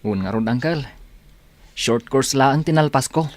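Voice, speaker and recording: Shy young Ilocano man, medium pitch acted. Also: normal speed, natural voice. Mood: hopeful. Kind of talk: Ilocano radio drama acting.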